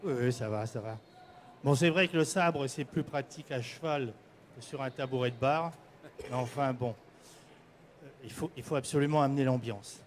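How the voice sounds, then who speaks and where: An older man from the Paris region giving a semi-prepared presentation to an audience, halting at times and correcting himself. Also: medium pitch. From Paris region, France. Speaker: candidate presenting at a prize event.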